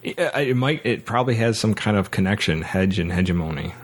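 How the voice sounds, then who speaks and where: A man hosting a podcast, casually discussing film and books in a halting way, often repeating words as he goes. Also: lowish voice, lively intonation. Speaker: podcast host.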